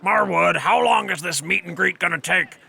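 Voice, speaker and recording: An actor playing a gruff, boisterous older veteran hero in an audio drama, with a raspy voice and hearty, coaching manner. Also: male voice, sits high, moderate pace, pushed and bright, soft background music, exaggerated voice. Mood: eager, impatient. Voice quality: gravelly, raspy. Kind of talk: audio drama character acting.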